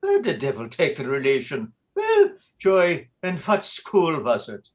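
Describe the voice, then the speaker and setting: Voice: medium pitch, huge pitch swings, measured pace. A performer voicing a flustered Irish priest who pretends to be Flemish, with a thick Irish brogue and a theatrical, wheedling delivery. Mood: blustering. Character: Irish priest posing as a Flemish chaplain, male. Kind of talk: stage-play reading.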